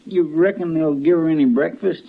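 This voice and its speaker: An actor playing a folksy, fretful, loyal sidekick with a rural American drawl in an old radio western. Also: male voice, on the high side, fairly quick, creaky. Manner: plain-spoken, fretful, deferential. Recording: dull, noisy old radio recording.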